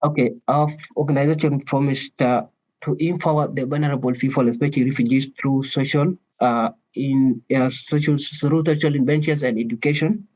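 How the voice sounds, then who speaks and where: A man's voice: medium pitch, fairly even intonation, fairly quick, darkish tone, poor audio. A man from South Sudan asking a question on a webinar, earnest and hesitant, over a video call.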